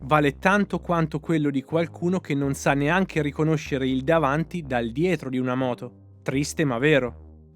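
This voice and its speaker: A man delivering scripted motorsport opinion commentary in an emphatic, sarcastic manner with rhetorical questions. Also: a little high. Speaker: motorsport commentator, channel host.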